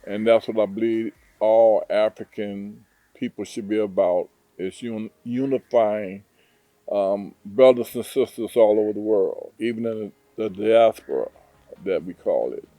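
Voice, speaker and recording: A man from Detroit, a hotel owner, answering interview questions in an earnest, unhurried way with frequent fillers. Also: fairly low pitch, warm tone, dry recording, poor audio. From Detroit, USA. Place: hotel in Zanzibar.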